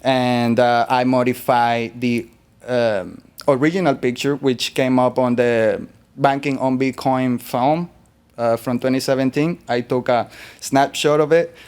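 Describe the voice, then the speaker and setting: A man from Colombia, a guest artist in an interview, talking in a relaxed, rambling way with fillers. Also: medium pitch, measured pace, smooth. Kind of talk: interview conversation.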